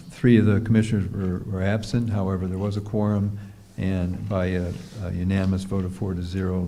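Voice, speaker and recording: A deep, gravelly, mellow male voice presents a staff report in a council chamber, with faint room noise behind him. He stops and restarts often, filling the gaps with hesitations. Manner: halting, many filler pauses. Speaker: city manager.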